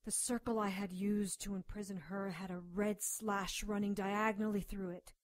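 A woman's voice: mid-range voice, level tone, moderate pace, dry recording, lightly acted. A woman playing a feisty, outspoken doctor who also narrates her supernatural case in a radio drama. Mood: narrating. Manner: defiant, outspoken. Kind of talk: radio drama acting with first-person narration.

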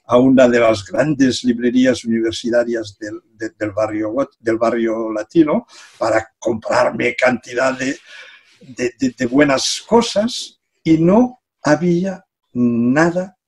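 An older Catalan man answers interview questions over a webcam from his home study. His voice is slightly husky and sits at an ordinary pitch. He speaks in a relaxed, halting way and repeats words as he searches for them. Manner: hesitant, repeats words, laughs between phrases. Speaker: guest, psychology professor. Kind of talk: casual conversational interview. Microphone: video call webcam.

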